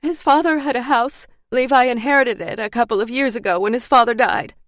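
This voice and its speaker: A woman's voice: fairly high pitch, brisk, fairly bright tone, no echo, studio quality, pitched above the performer's own voice, lightly acted. A woman voicing a frightened wife, a suspect's spouse, in an audiobook, with a tense, pleading voice. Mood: anxious.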